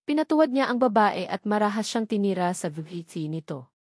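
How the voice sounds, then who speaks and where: A woman narrates scripted fiction in a medium-pitched voice. The sound is close and dry, with very little room in it. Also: big pitch swings, a little fast, a little mellow, dry recording.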